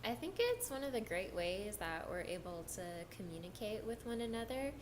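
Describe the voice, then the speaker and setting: Woman, reflective interview answers, clear background hum. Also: medium pitch, big pitch swings. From Hawaii. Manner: measured, reflective, hedges with "I think". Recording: background noise.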